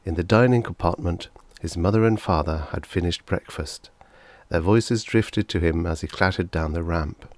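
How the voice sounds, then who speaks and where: A man's voice: low voice, normal speed, a little mellow, dry recording. A man narrating fiction as a scripted audiobook reader.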